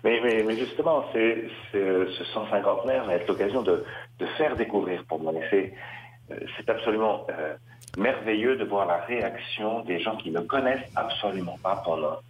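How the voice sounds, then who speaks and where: A middle-aged man from western France, a Dominican priest, answering interview questions with many pauses and restarts. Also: lowish voice, a little hiss or hum. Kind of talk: radio interview. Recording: roomy, slightly dull radio sound.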